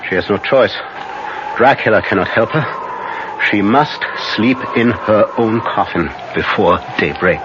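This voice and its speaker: A man's voice: fairly low pitch, lightly acted. Man acting a grave vampire-hunting professor, muffled noisy radio. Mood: grave, instructing. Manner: urgent, halting, solemn instruction. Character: commanding older male professor and vampire hunter, urgent and grave. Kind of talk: old-time radio drama acting.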